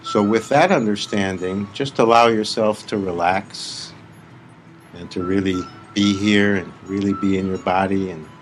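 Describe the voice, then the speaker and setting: Low-voiced man guiding a meditation. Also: fairly even intonation, faint music behind, low-quality recording. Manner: measured, deliberate, with long pauses between short phrases. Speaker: spiritual teacher leading a guided meditation. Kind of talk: live guided meditation talk.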